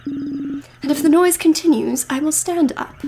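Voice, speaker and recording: A woman voicing a stern, weary older woman scholar from a role-playing game, measured and dryly commanding. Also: sits high, vocal fry, mellow, slight background noise, heavily acted. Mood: dry threat.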